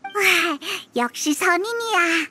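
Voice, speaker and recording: A woman's voice: very high voice, animated, takes it slowly, bright, forceful tone, a little hiss or hum, heavily acted. A woman voicing a tiny, perky, childlike fairy companion in a game, chirpy, eager and exclamatory. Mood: excited. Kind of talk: Korean game voice acting. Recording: music behind the voice.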